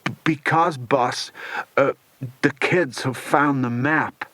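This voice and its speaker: A man voicing a nervous, slow-witted henchman who stammers to his boss in a children's audiobook. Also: medium pitch, a bit bright, no room sound, soft background music. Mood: nervous, deferential. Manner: stammering, hesitant. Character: gruff, nervous, dim henchman of a crime boss.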